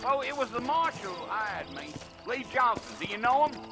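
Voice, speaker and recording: Loud music and horse sounds surround a very high-pitched male voice with an American Western accent. a friendly, plainspoken frontier trail guide in a video game, rambling along in rustic phrases. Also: big pitch swings, normal speed, full character voice. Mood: chatty, folksy. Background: music, horses and hoofbeats.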